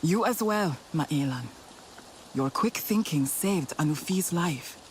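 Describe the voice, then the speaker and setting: A formal earnest hunter from a tribe in a game, highish voice, some noise. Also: male voice, expressive, takes it slowly, poor audio. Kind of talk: video game voice acting.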